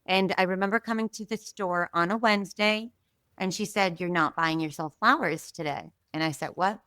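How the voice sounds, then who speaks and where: A woman guest telling a story in a casual, animated way, full of fillers and asides, from Israel. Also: fairly low pitch, moderate pace, dry recording. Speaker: podcast guest.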